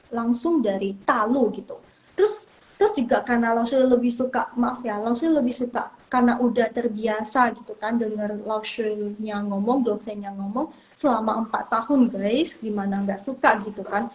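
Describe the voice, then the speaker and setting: A woman's voice: on the high side, moderate pace, poor audio. A young woman from Indonesia teaching Mandarin in a casual live online lesson, chatty and reassuring, trailing off and repeating herself.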